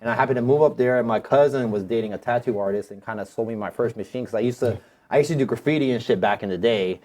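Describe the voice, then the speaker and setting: A man's voice: mid-range voice, fast talker, a little mellow. A man in his thirties talking casually as a podcast guest, in an informal, slangy way with some swearing. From United States. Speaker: tattoo artist, podcast guest. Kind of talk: casual conversational storytelling.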